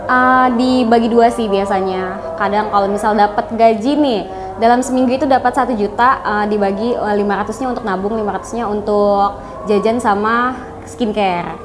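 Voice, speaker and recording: A woman's voice: medium pitch, steady tone, normal speed, background noise. Twenty-year-old woman answering politely. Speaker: interviewee. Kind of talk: casual street interview answers.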